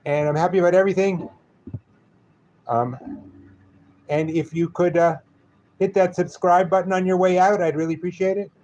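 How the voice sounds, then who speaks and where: A man's voice: high-pitched, fairly even intonation, moderate pace, long pauses, darkish tone, dull audio. A man from New York with a Brooklyn accent, chatting casually and joking with viewers on a live stream.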